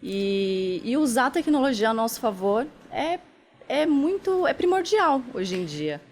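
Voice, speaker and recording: A woman's voice: a little high, big pitch swings, a little slow, slight background noise. A woman co-guest in a TV studio interview who chimes in with short, agreeing remarks and brief comments. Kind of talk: casual interview talk.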